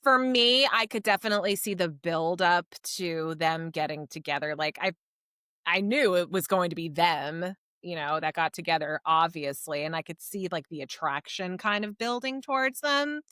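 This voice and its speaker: A woman co-hosting a podcast, talking casually about books in a measured, conversational way. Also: lowish voice, bright, forceful tone, clean tone, no echo, studio quality. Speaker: podcast co-host. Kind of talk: casual book review chat.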